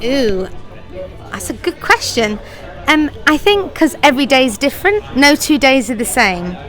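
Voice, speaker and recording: A woman's voice: high voice, very animated, normal speed, fairly bright tone, faint music behind. A middle-aged woman, a pantomime writer, director and actor, answering interview questions in a chatty, effusive way and laughing easily.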